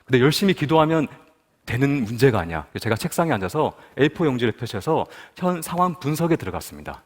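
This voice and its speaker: A man's voice: medium pitch, very animated, fast, long reverb tail. A man from Busan giving a personal testimony at a prayer meeting, telling his story and asking the audience questions. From Busan, South Korea. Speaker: guest speaker at a prayer meeting.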